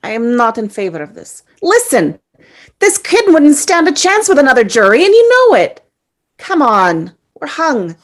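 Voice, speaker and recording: A woman's voice: sits high, huge pitch swings, normal speed. A woman in a drama table read playing a brash, hot-tempered male juror, sarcastic, indignant and emphatic. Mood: impatient, argumentative.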